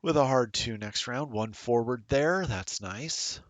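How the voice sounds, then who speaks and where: A man's voice: medium pitch, lively intonation, unhurried, dry recording. A middle-aged man rambling casually while commentating a streamed tabletop game, self-deprecating and chatty.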